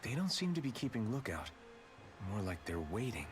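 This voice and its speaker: A man voicing a calm young swordsman in a video game, speaking in a steady, reassuring and level-headed way. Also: lowish voice, normal speed, background noise. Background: game music.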